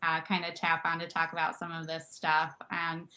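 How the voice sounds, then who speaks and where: A woman opens and steers an online panel discussion in a somewhat low voice. She talks casually, with plenty of ums, and corrects herself as she goes. The sound is that of a video call. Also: completely flat delivery, normal speed, sharp tone, slight background noise, low-quality recording. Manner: casual, many "um" fillers, self-correcting. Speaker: talk show host.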